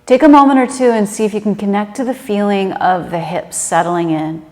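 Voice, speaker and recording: A woman leading a guided meditation in a gentle, unhurried and conversational manner. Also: medium pitch. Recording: reverberant room with long echo.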